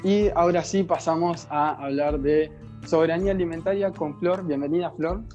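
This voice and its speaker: A man's voice: on the high side, moderate pace, a little mellow, lo-fi sound, soft background music. A man with an Argentine accent, hosting a podcast and introducing an interview. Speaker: podcast host.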